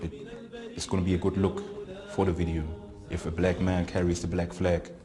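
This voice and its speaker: Man, interviewee; deep and monotone, halting delivery, often searches for words. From Germany. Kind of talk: recounting experiences in an interview. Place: prison.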